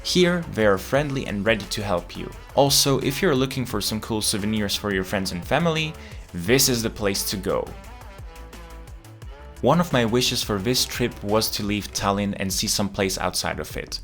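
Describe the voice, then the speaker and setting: Low-voiced man narrating a travel vlog. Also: animated, normal speed, fairly bright tone, faint music behind. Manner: chatty, explanatory, playful asides. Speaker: travel vlogger.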